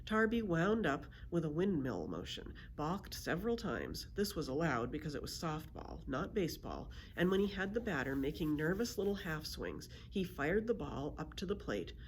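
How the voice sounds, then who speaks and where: Expressive woman reading aloud, with background noise. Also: low voice, normal speed, few pauses. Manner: expressive storytelling. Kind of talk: children's storybook read-aloud.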